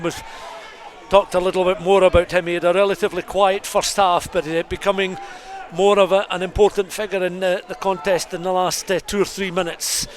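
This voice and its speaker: A man giving detailed, descriptive live play-by-play rugby commentary for radio. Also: sits high. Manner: descriptive, detailed scene-setting. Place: rugby stadium. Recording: crowd noise behind live outdoor commentary. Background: stadium crowd.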